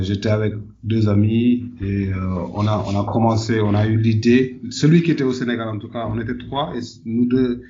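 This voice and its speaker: A man's voice: lowish voice, warm tone, highs cut off. A man from Senegal answering conversationally as an interview guest, often repeating words as he searches for phrasing. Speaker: podcast guest, entrepreneur.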